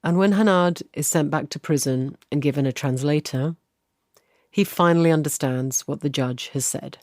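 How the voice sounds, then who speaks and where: A man's voice: on the high side, moderate pace, no room sound, clean studio sound. A man reading scripted narration for a narrative radio documentary. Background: music bed.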